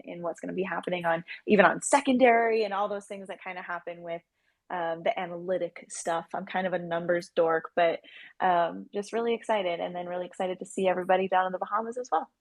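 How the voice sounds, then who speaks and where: A woman's voice: medium pitch, no room sound. A woman chatting casually as an interview guest, effusive and emphatic. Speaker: guest, project founder.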